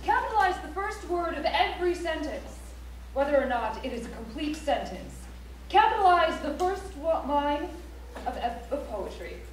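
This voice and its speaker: Actress as prescriptive rulebook voice: female, high, exact, declarative, staged in a very reverberant hall. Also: big pitch swings, moderate pace. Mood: instructional. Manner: instructional, precise, declarative. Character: prescriptive female voice reciting capitalization rules. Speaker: stage actor. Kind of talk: recited stage reading of a grammar rulebook. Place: library auditorium stage, recorded from the audience. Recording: echoey auditorium, distant mic, faint noise.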